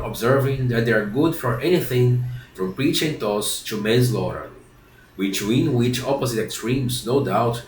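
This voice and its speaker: A man's voice: mid-range voice, echoey room, slight background noise, low-quality recording. A man with a congested, stuffy nose from a cold, reading a classic novel aloud and often stopping to reread and correct himself. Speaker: reader of a book series.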